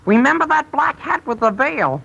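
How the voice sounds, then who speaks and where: A man's voice: extremely high pitch, very animated, normal speed, vocal fry, pushed and bright, exaggerated voice. An actor playing a pushy, scheming teenage boy who wheedles his pal in a 1930s radio comedy. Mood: wheedling. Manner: insistent, wheedling. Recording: dull old 1930s radio broadcast.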